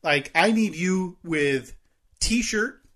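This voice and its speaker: A male podcast co-host with a fairly high, husky voice chats casually. He talks in a teasing, joking way, keeping the conversation loose and informal. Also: expressive, drawn-out words, fairly bright tone. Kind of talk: casual chat.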